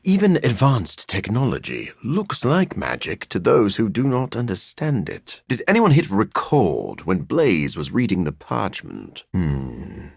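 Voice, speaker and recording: An actor voicing a brooding, sardonic vampire scientist for a video game, dry, erudite and theatrical, with a gravelly, husky voice. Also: male voice, lowish voice, huge pitch swings, a little slow, hardly any pauses, no echo, full character voice. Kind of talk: video game character voice lines.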